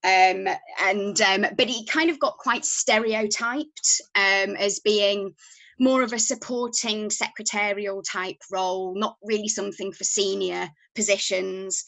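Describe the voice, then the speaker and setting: A woman's voice: medium pitch, steady tone, measured pace, gravelly, fairly bright tone, dry recording, low-quality recording. A woman from Yorkshire with a Yorkshire accent, a podcast guest talking casually, with plenty of ums and restarts. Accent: Yorkshire English. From Yorkshire, England. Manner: chatty, many ums and restarts. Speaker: podcast guest, company founder. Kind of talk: casual interview talk.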